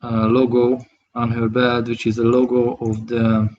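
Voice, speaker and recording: A man from Hungary, speaking English with a Hungarian accent, talks through a live drawing tutorial, thinking aloud in a halting way as he works. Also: mid-range voice, monotone, darkish tone, muffled recording. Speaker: digital artist streaming his work.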